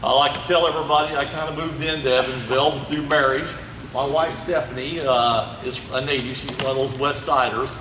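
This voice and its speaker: A man's voice: medium pitch, steady tone, brisk, background noise. Folksy man in an echoey hall. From Indiana, USA. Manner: folksy, chatty, checks in with "okay?". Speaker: museum volunteer presenter. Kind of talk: informal history talk to an audience. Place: large indoor museum hall. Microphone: handheld mic held loosely. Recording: echoey hall recorded from far off.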